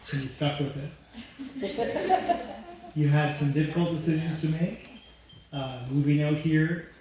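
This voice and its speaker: A man giving a heartfelt wedding toast to a family member, slow and halting with frequent pauses. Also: mid-range voice, mellow. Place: wedding reception venue. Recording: echoey room with faint background noise.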